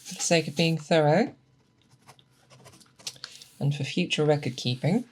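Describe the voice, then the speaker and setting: Performer acting monster hunter mentor, ASMR roleplay, slow-paced, methodical, patient, deliberate, gently questioning. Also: mid-range voice, a little hiss or hum, lightly acted. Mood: calm, focused. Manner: unhurried, deliberate, coaxing with questions. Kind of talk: ASMR roleplay, scripted character monologue.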